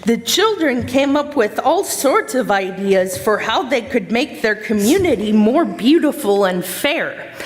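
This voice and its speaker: A woman narrating a scripted story for a readers theater stage play. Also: on the high side. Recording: reverberant hall.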